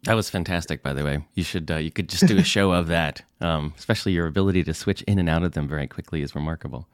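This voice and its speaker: A man's voice: low-pitched, fast, clear, resonant tone, no room sound, studio quality. A man interviewing a guest, asking questions in an appreciative manner. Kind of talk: broadcast interview.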